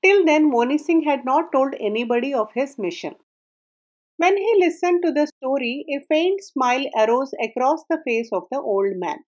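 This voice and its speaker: A woman narrating a folk tale aloud in a measured storybook reading, her sentences falling at the ends. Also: high voice, lively intonation, darkish tone.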